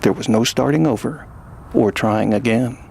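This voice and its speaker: A man narrating a scripted science fiction story in a measured, dramatic manner. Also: mid-range voice, vocal fry, a little mellow, slightly rough.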